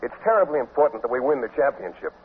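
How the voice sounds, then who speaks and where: An actor playing a bashful, earnest biology teacher who speaks in hesitant, wordy phrases in a 1950s radio comedy. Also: male voice, medium pitch, big pitch swings, rapid-fire, close to an everyday voice. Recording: tinny old radio broadcast, faint noise.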